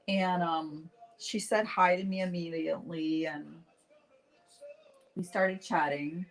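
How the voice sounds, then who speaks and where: A middle-aged woman chatting casually to livestream viewers as she tells a personal story, acting out bits of dialogue in an animated, informal way. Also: fairly low pitch, low-quality recording. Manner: chatty, animated retelling with acted-out dialogue and frequent "like"; addresses viewers directly. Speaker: host painting live while telling stories.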